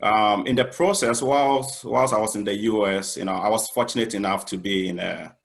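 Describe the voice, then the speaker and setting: Low-voiced man from Ghana, chemist, halting panel intro. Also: fairly even intonation, creaky. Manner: halting, many "um" and "you know". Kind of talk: self-introduction on an online panel.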